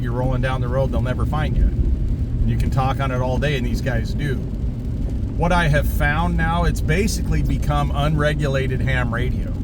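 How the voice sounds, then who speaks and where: A middle-aged American man giving a casual, rambling monologue in a conversational, emphatic way, repeating himself for emphasis. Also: mid-range voice. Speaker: vlogger. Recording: loud vehicle and outdoor background noise.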